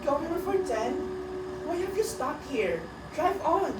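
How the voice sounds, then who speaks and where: A woman's voice: high-pitched, animated, a little slow, voice pushed up. A woman playing a brusque, impatient sledge passenger in a radio drama, scolding and curt as she orders the driver about. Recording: echoey, distant, very noisy.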